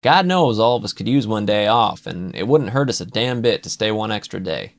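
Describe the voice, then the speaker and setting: A man voicing a rowdy, profane male work buddy who talks loud and pushy. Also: fairly low pitch, expressive, a little fast. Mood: coaxing.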